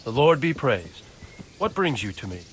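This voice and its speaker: A man playing a gruff, weary, wounded lord in a medieval video game. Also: medium pitch, sing-song, moderate pace, fairly bright tone, a little hiss or hum, poor audio. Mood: weary, pained. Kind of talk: video game dialogue acting.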